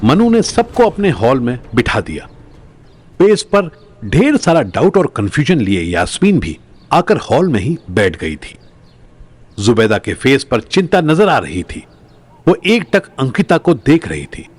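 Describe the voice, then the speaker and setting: A man's voice: mid-range voice, wildly swooping pitch, measured pace, breathy edge. A man narrating a scripted audio drama in a dramatic storytelling style.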